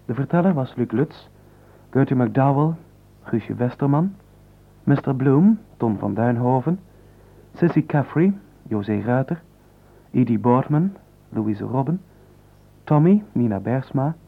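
A man announces in a formal, deliberate manner, reading from a script. His voice sits at a medium pitch, heard through a tinny, narrow old radio recording. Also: expressive, gravelly. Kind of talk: formal scripted closing credits.

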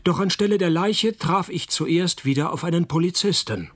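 An actor playing a wry, cynical private detective who narrates a radio play with dry, deadpan, laconic delivery and a husky voice. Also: male voice, high-pitched, normal speed. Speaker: detective and first-person narrator.

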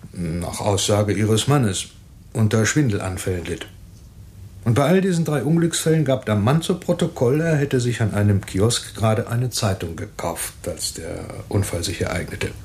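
An actor playing a calm, methodical police inspector in a radio drama, speaking in a measured, explanatory way. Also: male voice, fairly low pitch, natural voice.

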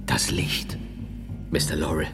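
An actor playing a learned, solemn and modest medieval friar and philosopher in a German radio play, speaking in a measured, didactic way. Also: male voice, rumbling low, fairly even intonation. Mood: solemn, lecturing. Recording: long cavernous reverb, music underneath.

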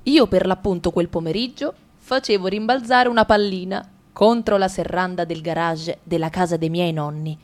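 A woman reading a first-person passage in an Italian radio drama, calm and measured. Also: on the low side. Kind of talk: literary radio drama narration.

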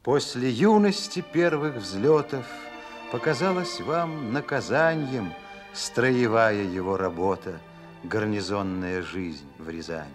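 A solemn verse narrator in a radio play. His voice is lowish and very slow, declamatory, with music clearly behind it. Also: animated. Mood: solemn, lyrical. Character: solemn male poetic narrator addressing a woman.

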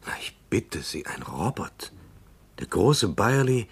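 A man's voice: lowish voice, very animated, slow pace, creaky, husky, exaggerated voice. An actor playing a skeptical young man who questions in an incredulous, hesitant way, heard a little off the mic in a radio drama. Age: young adult.

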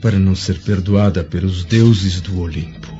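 A grave Roman nobleman , played by a man, speaks formally and soothingly in a low, dark-toned voice. It is radio drama, forgiving in temper. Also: normal speed, natural voice. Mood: grave, consoling. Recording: dull lo-fi audio with music behind.

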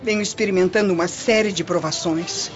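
A woman's voice: fairly low pitch, fairly quick, lightly acted. An actress as a scheming Roman noblewoman, music-drenched. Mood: feigned anguish. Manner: theatrical, insinuating. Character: scheming vengeful Roman noblewoman, falsely confiding prefect's wife. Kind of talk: radio drama acting, formal measured diction. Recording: muffled lo-fi recording under loud music.

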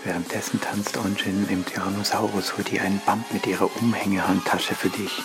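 A man's voice: fairly low pitch, little pitch movement, a little fast, lightly acted. A man performing as the narrator of a scripted spoken-word piece, describing action like a screenplay. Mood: narrating. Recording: music under the voice. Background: music.

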